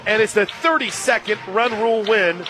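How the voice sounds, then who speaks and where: A man calling a live softball game on radio from a stadium booth, climbing into excited shouts on big plays and laughing easily. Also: high voice, moderate pace, soft background music, a faint crowd. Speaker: radio play-by-play announcer. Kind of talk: live radio sports play-by-play.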